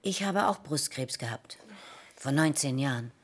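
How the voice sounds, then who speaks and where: A woman's voice: extremely low pitch, expressive, a little slow, loud music behind the voice. An actress playing a chatty, earnest middle-aged woman in a radio drama, emphatic and reassuring. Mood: earnest, encouraging.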